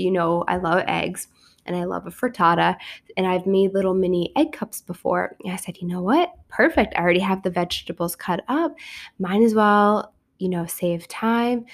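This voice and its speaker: A woman host with a raspy, sick voice, chatting casually and upbeat on her podcast, with lots of fillers and restarts. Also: mid-range voice. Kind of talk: casual chat.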